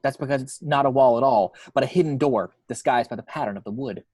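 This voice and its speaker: A man narrates the action lines of a screenplay. His voice is medium in pitch and recorded dry, without room sound. He tells it in a measured way that builds suspense. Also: a little mellow, breathy edge, dry recording. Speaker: narrator reading action description.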